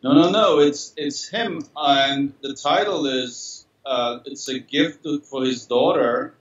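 A middle-aged man, answering interview questions slowly over a video call, with many fillers and restarts. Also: mid-range voice, fairly bright tone. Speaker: interviewed artist. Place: studio room, indoors. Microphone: laptop webcam on a video call. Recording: roomy, distant, dull video call audio.

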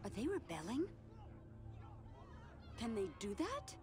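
An actress playing a cautious, alert samurai scout in a video game, speaking in a guarded, urgent way. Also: female voice, fairly high pitch, wildly swooping pitch, slow, full character voice. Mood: wary. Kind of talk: video game cutscene acting. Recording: game audio with loud music.

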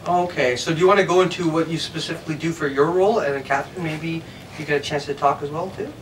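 A man's voice: a little high, fast. A man in his thirties interviewing guests as a show host, chatty and playfully enthusiastic. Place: room with couches, amps and instruments. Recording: slightly distant mic, faint room noise.

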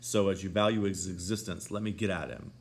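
A man's voice: on the low side, a little fast, gravelly, slight background noise. A man doing a brooding, menacing brute. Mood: furious. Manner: sneering, threatening.

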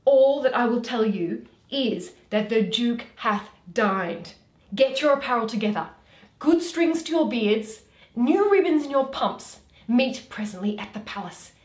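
A woman's voice: fairly high pitch, animated, unhurried. A woman as boisterous, self-important Athenian tradesman in Shakespeare. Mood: boisterous, commanding. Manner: emphatic, grandly declaiming. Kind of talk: Shakespeare read aloud as solo performance. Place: small room at home, indoors. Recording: roomy home recording.

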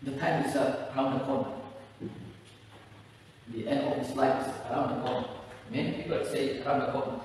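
A middle-aged man, a native Indonesian speaker, preaching a sermon as pastor, swinging from quiet lines to loud high-pitched rises while pacing and gesturing. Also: level tone, brisk, pauses often, slight background noise. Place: large bare community hall, indoors. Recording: echoey hall, voice far from the mic.

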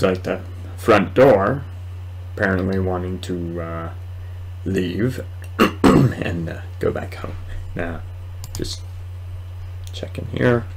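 A man in his twenties giving casual, rambling let's play commentary at home, halting at times. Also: sits low, slightly rough, poor audio. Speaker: gaming video host. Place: small room at home, indoors.

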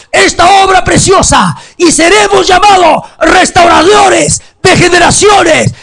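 Impassioned high-voiced male preacher, shouting. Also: vocal fry. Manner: impassioned, often shouting, drawn-out phrases. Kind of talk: fervent altar call sermon.

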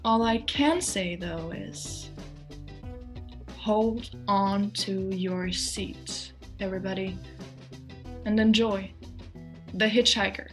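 Very slow-paced woman announcer. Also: medium pitch. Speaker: announcer introducing a radio play. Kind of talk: scripted, theatrical introduction. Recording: music behind the voice.

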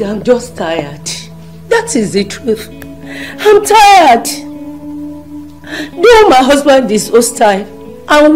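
An actress playing a wife who prays to God in tears. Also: female voice, on the high side, a little mellow, poor audio. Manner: pleading, tearful prayer. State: crying. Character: desperate praying wife.

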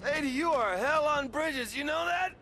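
A man's voice: very high voice, lively intonation, normal speed, bright, forceful tone, soft background music, heavily acted. A young man with an American accent acting a cocky, sarcastic, wisecracking superpowered rebel in a video game. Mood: excited. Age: young adult. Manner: flippant, sarcastic.

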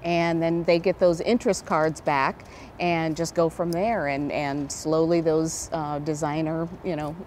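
Lower-pitched middle-aged American woman, interview guest. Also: level tone, measured pace, darkish tone, slight background noise. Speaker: event director, interview guest. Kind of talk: conversational TV interview answers. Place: large indoor event hall. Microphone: lapel mic.

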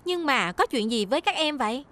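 Youthful cartoon character, high-pitched, animated, children's show, light music behind. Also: female voice, a little fast, crowd noise. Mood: concerned. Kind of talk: dubbed children's cartoon acting.

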